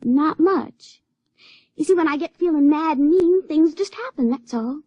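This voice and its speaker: A woman's voice: high voice, unhurried, dark tone, dry recording. An actress playing a naive, wilful young backwoods girl with a rural Southern American accent, folksy and guileless, in a radio drama. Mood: earnest. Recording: dull, dry vintage radio recording.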